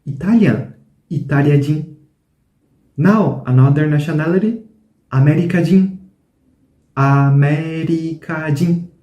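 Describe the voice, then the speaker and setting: A man teaching a language lesson, saying each word slowly and repeating it several times. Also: a little high, dark tone. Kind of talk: slow, repetitive language lesson. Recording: roomy echo.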